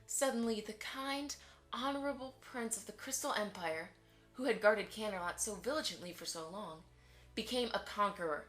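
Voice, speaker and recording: A woman's voice: mid-range voice, normal speed, a bit bright, soft background music, close to an everyday voice. A woman playing a polite, chatty pony mother who keeps the peace in an audio drama. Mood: conversational, pointed.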